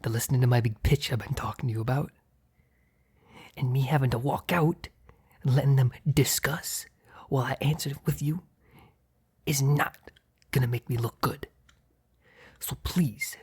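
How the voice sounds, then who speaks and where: A man playing a gruff, short-tempered mob boss in an ASMR roleplay, with a gravelly, husky voice. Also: mid-range voice, moderate pace, long pauses. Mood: hushed, exasperated. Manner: commanding street-tough slang with crew, flustered hushed stammer with partner. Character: gruff, short-tempered male mob boss hiding his work from partner.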